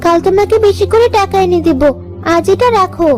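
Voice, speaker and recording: A woman's voice: extremely high pitch, brisk. A woman playing a meek, selfless young village stepdaughter in a cartoon story, plaintive in manner. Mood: meek, caring. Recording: music behind the voice.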